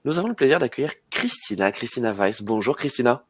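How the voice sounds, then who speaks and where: A man's voice: medium pitch, big pitch swings, fast, slightly rough, dry recording. A man hosting an alumni webinar, speaking hesitantly with many fillers and repeated words. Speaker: webinar host. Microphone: video call.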